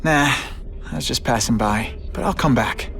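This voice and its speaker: A man's voice: medium pitch, moderate pace, gravelly, fairly bright tone, slight background noise. An actor playing an earnest, wry young man, a superhero in a video game cutscene. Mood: wry, casual. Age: young adult.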